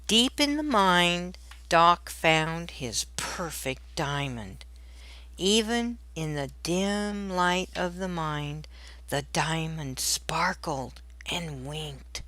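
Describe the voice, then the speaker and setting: A woman narrating a children's storybook aloud in a deliberate, expressive storytelling manner. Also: lowish voice, dry recording.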